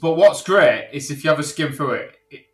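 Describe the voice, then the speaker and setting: A man's voice: fairly high pitch, expressive, a little fast, fairly bright tone. A man talking casually as a podcast guest, informal and enthusiastic. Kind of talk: casual chat. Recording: echoey room.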